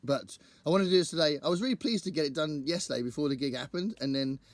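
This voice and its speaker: A man hosting his own book channel, talking casually to camera in a rambling, think-aloud way, his voice croaky from singing at a gig the night before. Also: a little high, lively intonation, fast, no room sound.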